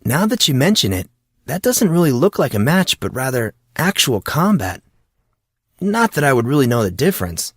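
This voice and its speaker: A man's voice: medium pitch, big pitch swings, moderate pace, husky, no echo, clean studio sound, pitched above the performer's own voice. A man voicing a curious, hesitant and wry fourteen-year-old boy in an audiobook. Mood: unconvinced. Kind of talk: audiobook character dialogue.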